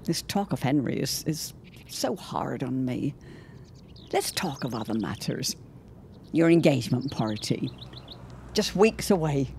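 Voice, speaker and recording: A low, very expressive genteel older mother in a period audio drama. She speaks formally, with wistful dignity and a needling edge. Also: a little slow, gravelly, pauses often, slight background noise, heavily acted. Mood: wistful, subdued.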